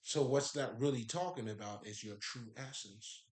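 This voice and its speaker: Man, Haitian accent, mid pitch, emphatic and profane, laughs a lot, echoey room. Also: moderate pace. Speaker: spiritual speaker. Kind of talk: casual spiritual talk.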